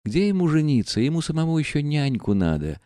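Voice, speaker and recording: A man's voice: fairly high pitch, big pitch swings, brisk, mellow, no room sound, studio quality, voice pushed up. A man reading aloud and voicing a proud, reckless young woman who speaks in a bitter, mocking, defiant way.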